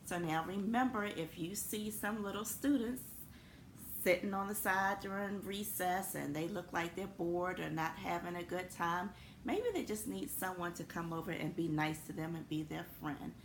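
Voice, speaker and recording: A woman reading a children's story aloud expressively, in the manner of a school principal addressing young students. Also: lowish voice. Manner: animated, unhurried storytelling. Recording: echoey room with noticeable background noise.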